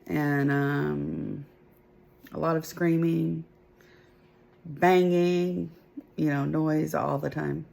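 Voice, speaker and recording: Slow, gravelly-voiced woman talking softly. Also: flat delivery. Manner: soft, low-volume talk that others struggle to hear. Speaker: mother filming a family vlog. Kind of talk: casual home vlog. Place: at home, partly in bed.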